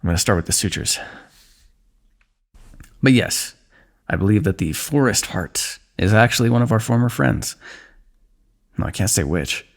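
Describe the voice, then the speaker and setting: A man playing a low, gravelly, weary fantasy warrior. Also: lively intonation. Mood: grave, worried. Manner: measured, dry teasing, formal fantasy diction. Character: weary, wry fantasy warrior plotting against a kingdom. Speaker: ASMR voice actor. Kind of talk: ASMR fantasy roleplay, one-sided dialogue.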